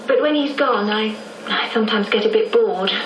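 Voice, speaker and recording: An actress playing a young, upper-class English daughter in a radio drama, polite and gently wry. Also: female voice, fairly high pitch, normal speed, close to an everyday voice. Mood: wistful, shy. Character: bright, gently teasing young aristocratic daughter. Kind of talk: 1990s radio drama acting. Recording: noisy, roomy radio drama copy, distant.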